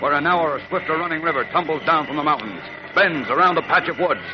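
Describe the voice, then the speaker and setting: A man's voice: a little high, quick pace. An American man narrating a 1940s radio serial in a dramatic, declamatory way. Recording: muffled 1940s radio recording. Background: music.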